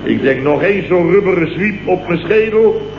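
An actor from the Netherlands with a Dutch accent, playing a sleep-starved, self-pitying, blustering company boss who rambles and repeats himself in a comic radio play. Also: male voice, high voice, lively intonation, moderate pace, creaky. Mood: aggrieved, dramatic. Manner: rambling, repetitive, exclamatory grumbling. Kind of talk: late 1960s comic radio play acting. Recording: dull, noisy, roomy old radio recording.

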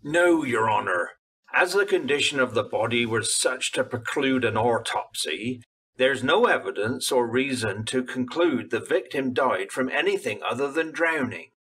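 An actor playing a formal jury foreman in a radio drama, delivering his words as measured declarations. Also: mid-range voice, lively intonation, bright, forceful tone, husky, distant mic, rough recording. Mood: solemn. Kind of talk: old-time radio drama acting.

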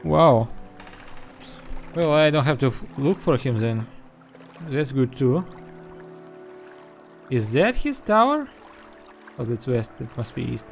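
A man giving in-character gameplay commentary, halting and musing to himself. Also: medium pitch, big pitch swings, gravelly. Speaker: gamer doing a roleplay playthrough. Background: game music.

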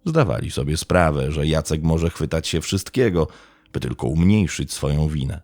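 A man narrating a scripted true crime story as podcast host, in a measured, dramatic storytelling manner. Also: extremely low pitch, expressive, a little mellow, no echo.

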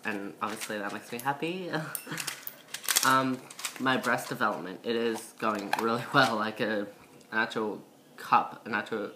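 A young woman with a low, masculine-sounding voice and a slight cold, chatting casually to camera in a rambling, self-conscious way. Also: background noise. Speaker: vlogger. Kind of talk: casual chat to camera, transition update. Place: indoor room by a window. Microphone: built-in device mic at arm's length.

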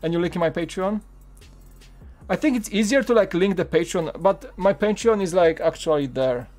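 A man's voice: high voice, animated, normal speed, darkish tone, faint music behind. A man in his thirties from Poland with a Polish accent, chatting casually on a live stream while building, with lots of fillers and restarts. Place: home studio room.

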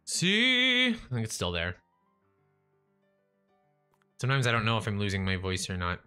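A man's voice: fairly low pitch, huge pitch swings, normal speed, pushed and bright, smooth, faint music behind. A young man livestreaming a building game, talking to himself and chat, with a voice he says is going. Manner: chatty, self-talking, restarts and trails off. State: losing his voice. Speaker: livestreaming gamer. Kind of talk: casual live-stream chat while building. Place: small room at home. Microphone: studio mic in front of face.

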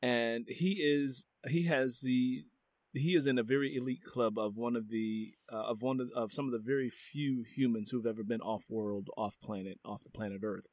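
A man's voice: medium pitch, normal speed, darkish tone, no echo. A man hosting an interview podcast, speaking earnestly and repeating phrases for emphasis. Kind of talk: casual interview conversation.

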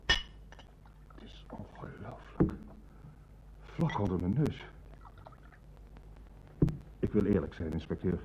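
An actor playing a courteous, troubled senior official in a radio play, speaking formally and hesitantly. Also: male voice, low-pitched, sing-song, takes it slowly, pauses often, heavily acted. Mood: shaken, troubled. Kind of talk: Dutch radio drama acting. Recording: dull, noisy old radio recording.

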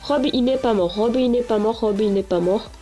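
A woman's voice: a little high, moderate pace, mellow. A woman with a cold giving casual, rambling gameplay commentary from an echoing bedroom, full of fillers and restarts. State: stuffy-nosed with a cold. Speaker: gaming channel host. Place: small echoing bedroom, lying down. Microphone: handheld console camera. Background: game music.

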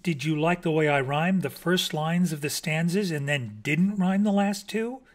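A timid bat poet from a storybook, acted by a male reader. He speaks politely and hesitantly, with an apologetic, deferential manner. His voice is a bit high. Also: moderate pace, natural voice. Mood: polite, anxious.

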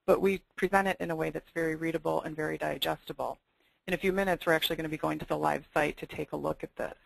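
A presenter walking through website design samples on slides in a webinar, explaining clearly with the occasional filler. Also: medium pitch, a bit bright, dry recording, poor audio.

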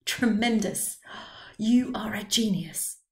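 A woman voicing an excitable, drunken old man who talks eagerly and loudly in a read-aloud story. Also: takes it slowly. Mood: excited. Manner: eager, pleading, high and loud.

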